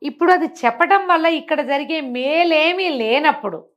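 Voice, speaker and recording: A woman's voice: high voice, moderate pace, dull audio. A woman giving a conversational moral talk, teaching emphatically and often posing rhetorical questions. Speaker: storyteller explaining moral teachings.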